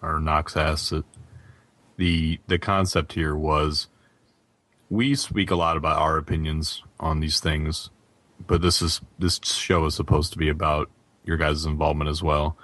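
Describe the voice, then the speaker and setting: Very deep, even-toned male podcast host. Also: a little slow, no echo. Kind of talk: casual podcast hosting, addressing listeners.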